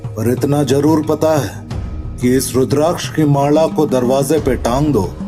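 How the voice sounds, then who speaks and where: A man's voice: medium pitch, a little slow, music in the background, natural voice. A man playing a fearless, sly rustic with a Rajasthani-Haryanvi accent in a horror audio drama, drawling, mocking and unruffled. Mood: knowing, grim.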